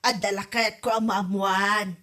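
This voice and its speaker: A woman's voice: medium pitch, little pitch movement, unhurried, sharp tone. A woman acting a concerned, principled village mother in an Ilocano comedy drama, with an Ilocano accent. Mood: disapproving.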